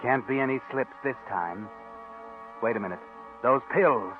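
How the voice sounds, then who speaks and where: An actor playing a sinister, sardonic narrator who taunts a schemer in a 1940s radio thriller. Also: male voice, mid-range voice, measured pace, lightly acted. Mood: scheming, urgent. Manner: sardonic, insinuating. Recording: tinny old radio recording with music.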